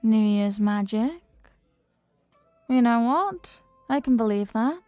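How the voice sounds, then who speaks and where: A woman's voice: on the high side, expressive, takes it slowly, a little mellow, dry recording, soft background music. A woman playing a flirty, playful stranger at a New Year's party in an intimate audio roleplay, with a coy, teasing, murmuring delivery. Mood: flirty, hopeful.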